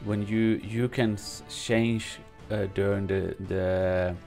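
A man who is not a native English speaker, reading game patch notes aloud and commenting on them from his home streaming room, halting with many fillers and restarts. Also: fairly low pitch, steady tone, vocal fry, darkish tone, soft background music. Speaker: gaming streamer.

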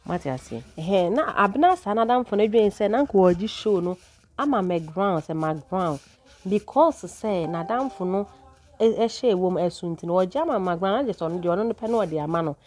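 A woman's voice: mid-range voice, animated, a little fast, a little mellow. Chatty Ghanaian woman gossip narrator. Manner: chatty, asks viewers "what I say?". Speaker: channel host and narrator. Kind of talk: gossip commentary voice-over.

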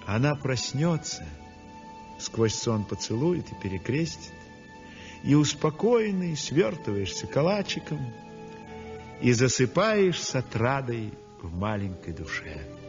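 An actor playing a weak, convalescent young male painter who narrates his story in a 1960s radio drama, speaking softly and breathily. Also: mid-range voice, sing-song, measured pace, soft background music. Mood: tender. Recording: dull old radio recording with long reverb.

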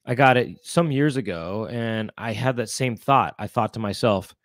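A man's voice: mid-range voice, normal speed, fairly bright tone, no echo, studio quality. Stuffed-up man rambling at a podcast mic. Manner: rambling, playful comic riffing. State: congested. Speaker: co-host. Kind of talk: casual chat. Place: small room at home. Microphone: studio mic with headphones.

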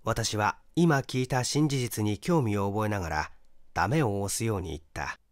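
A man's voice: lowish voice, moderate pace, no echo, clean studio sound. A man reading a mystery story aloud as scripted narration. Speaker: audiobook reader, stage actor.

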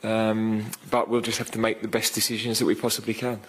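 A man in his fifties, a football manager answering questions at a post-match press conference, speaking in a measured, thoughtful way with many fillers and restarts into a desk microphone. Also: fairly low pitch, completely flat delivery, a bit bright, slight background noise, rough recording.

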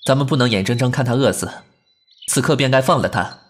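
A man's voice: mid-range voice, fast, close to an everyday voice. A man acting a proud, principled, defiant young man in a Chinese costume audio drama, with an earnest, formal delivery. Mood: firm, restrained.

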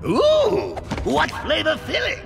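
Giddy clown villain, gravelly, theatrical, slow and drawn out, loud music behind. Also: male voice, very high voice, huge pitch swings, in a large hall, heavily acted. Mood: gleeful. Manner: giddy, theatrical, sing-song. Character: cackling manic male clown villain. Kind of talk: video game cutscene acting.

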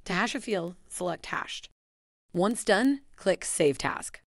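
A woman giving a scripted, even voice-over that walks through software steps. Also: mid-range voice, slow pace, creaky, dry recording, clean studio sound. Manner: even, instructional delivery. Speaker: software tutorial narrator.

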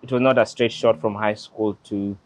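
A man's voice: on the low side, steady tone, normal speed, dry recording. A young man in his late twenties with a Kenyan accent, telling his story to an interviewer in an earnest, reflective way, recorded outdoors on a lapel mic. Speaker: interview guest, lawyer. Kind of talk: relaxed personal storytelling. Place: outdoors beside a glass building.

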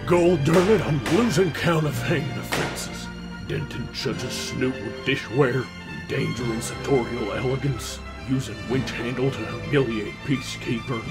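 A man's voice: mid-range voice, normal speed, loud music behind the voice, natural voice. A man voicing a cantankerous old frontier hanging judge in a comic dub, theatrically aggrieved, with a folksy drawl. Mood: outraged. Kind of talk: cartoon comic dub acting.

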